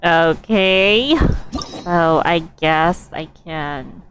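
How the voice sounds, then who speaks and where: A woman's voice: fairly low pitch, drawn-out words, a bit bright. A woman livestreaming a game with casual commentary, musing and thinking aloud as she reacts. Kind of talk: casual gameplay commentary, reacting to story dialogue. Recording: background game ambience and noise.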